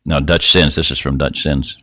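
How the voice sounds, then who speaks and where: A middle-aged man hosting a rambling, folksy screen-share talk, his voice getting raspy and dry. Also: extremely low pitch, steady tone, normal speed, bright, forceful tone, no echo. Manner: rambling, folksy, self-interrupting. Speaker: video host.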